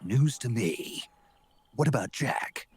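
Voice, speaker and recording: An actor playing a gruff, world-weary old soldier in a video game, with a very raspy growl and a terse, blunt, suspicious manner. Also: male voice, medium pitch, expressive, slow pace, darkish tone, exaggerated voice. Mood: guarded. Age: older. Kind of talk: dramatic video game voice acting.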